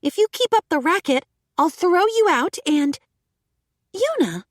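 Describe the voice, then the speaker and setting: Stern elf guild master, read by a woman; high, animated and unhurried, dry recording. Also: no echo, heavily acted. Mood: commanding. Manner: commanding, clipped.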